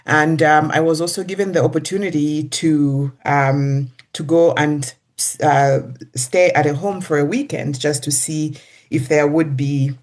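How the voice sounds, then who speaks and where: A middle-aged guest from Zambia, answering interview questions in a hesitant way with many pauses and restarts. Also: mid-range voice, fairly even intonation. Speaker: executive director, guest.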